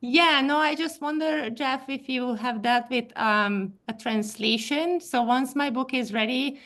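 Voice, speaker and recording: A woman's voice: high-pitched, measured pace, fairly bright tone. A woman whose first language is Hungarian asks careful, hedging questions about her book on a group call. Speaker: aspiring author.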